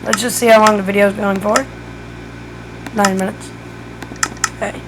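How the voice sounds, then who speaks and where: A woman's voice: mid-range voice, flat delivery, normal speed, heavy background noise. A woman who is losing her voice gives a casual step-by-step game tutorial, thinking aloud as she builds. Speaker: gaming tutorial creator.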